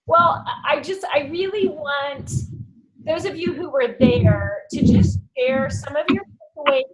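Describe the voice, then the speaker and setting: A woman's voice: sits high, animated, unhurried, poor audio. Upbeat woman hosting a team call. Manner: upbeat, enthusiastic, with fillers. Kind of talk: casual group phone call.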